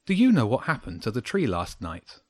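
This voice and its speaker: A man's voice: mid-range voice, wildly swooping pitch, brisk, darkish tone, no room sound, clean studio sound. A man voicing a curious, earnest young boy in a children's audiobook. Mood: inquiring. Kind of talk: children's audiobook character acting.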